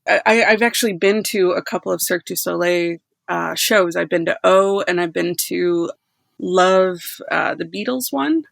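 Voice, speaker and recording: A woman's voice: on the low side, normal speed, vocal fry, a bit bright, no room sound, studio quality. A woman from a podcast team chatting informally, often dropping "like" into her sentences. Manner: informal, peppered with "like". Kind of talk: casual chat.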